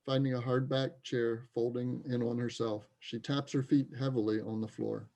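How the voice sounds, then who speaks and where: A male narrator reads stage directions for an amateur play. His mid-pitched voice is mellow, with plenty of creak. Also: little pitch movement, normal speed.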